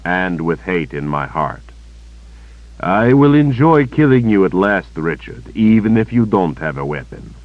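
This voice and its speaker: Man doing a taunting villain swordsman, slow and gloating, deep voice, dry and slightly dull. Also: big pitch swings, no echo. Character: hateful, menacing male villain swordsman.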